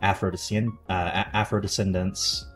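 A man's voice: low-pitched, flat delivery, normal speed, fairly bright tone, soft background music. A man in his forties hosting a podcast interview from a home office over a video call, asking curious, conversational questions into a headset mic. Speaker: podcast host. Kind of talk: casual interview.

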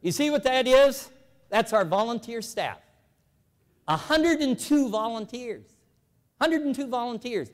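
A man in his seventies giving a lively, folksy, emphatic storytelling speech to a church convention, walking the floor with a lapel mic. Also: extremely high pitch, a little slow, long pauses, big-room sound. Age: 70s. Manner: animated, folksy, emphatic repetition. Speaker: convention speaker. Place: large convention hall.

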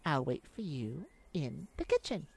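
A woman's voice: deep voice, sing-song, a little slow, vocal fry, slightly rough, exaggerated voice. A woman playing a chipper, silly, caring roommate and best friend in a comforting audio roleplay, with playful, theatrical phrasing. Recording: noisy, birds and insects behind. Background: nature sounds with birdsong and insects.

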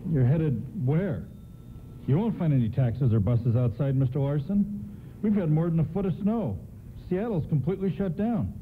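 A man's voice: on the high side, lively intonation, normal speed, lightly acted. A man playing a helpful, official railway station attendant in a radio play. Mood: courteous, firm. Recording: muffled, hissy AM radio broadcast.

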